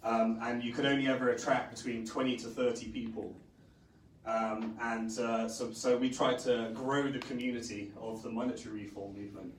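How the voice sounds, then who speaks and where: Hoarse man speaking in an echoey hall. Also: medium pitch, flat delivery, moderate pace. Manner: rambling with many fillers. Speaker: conference speaker. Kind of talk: conference talk. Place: conference stage. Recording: echoey hall, distant mic, faint noise.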